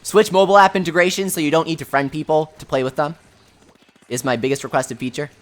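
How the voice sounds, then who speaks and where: A man hosting a game livestream with a high voice, answering viewer questions in a chatty, offhand way full of fillers. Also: steady tone, fast. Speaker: livestream host. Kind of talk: casual livestream chat, reading viewer questions.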